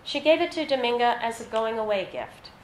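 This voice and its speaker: A woman reading literary fiction aloud at a live event, with a measured, narrative delivery. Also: on the high side, expressive. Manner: measured, scripted narration with read-out dialogue. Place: live reading in an echoey venue. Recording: roomy echo, faint background noise.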